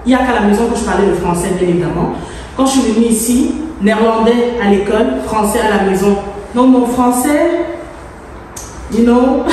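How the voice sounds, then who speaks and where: A Cameroonian woman whose first language is English talks casually to her vlog, musing aloud and dropping in English words. Her tone is mellow. She sounds distant from the microphone, in an empty room with long, heavy reverberation. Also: fairly high pitch. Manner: informal, thinking aloud, slips in English words. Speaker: vlogger. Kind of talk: casual vlog chat while working. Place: empty freshly plastered room indoors. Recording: very echoey empty room, faint noise, distant mic.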